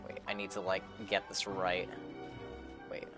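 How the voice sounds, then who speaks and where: A man's voice: low-pitched, completely flat delivery, unhurried. Male streamer, casual off-the-cuff game reactions, faint music in the background. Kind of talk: casual live reaction commentary.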